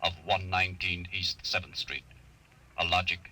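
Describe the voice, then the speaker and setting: Grave radio narrator, low, level delivery, deliberate and husky. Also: male voice, steady tone, sharp tone, exaggerated voice, low-quality recording. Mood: solemn. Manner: solemn, deliberate. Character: grave male narrator of a science fiction tale. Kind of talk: 1950s radio drama narration.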